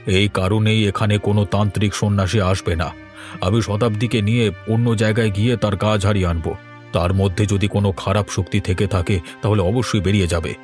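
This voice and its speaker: A man acts a worried middle-aged village father in a horror cartoon. His voice sits low and sounds grave and earnest. Soft music runs behind it. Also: steady tone, brisk, natural voice.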